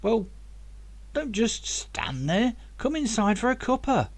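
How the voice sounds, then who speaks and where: A man voicing a caring, homely, old-fashioned mother who gently scolds her son in an audiobook. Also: very high voice, big pitch swings, slow pace, voice pushed up, exaggerated voice. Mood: fond, welcoming.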